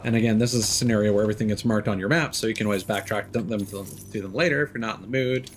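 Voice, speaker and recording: A man's voice: lowish voice, fairly even intonation, rapid-fire, slight background noise. A man streaming a game, giving loose, rambling commentary as he thinks aloud and teases the characters on screen. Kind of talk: casual let's-play commentary.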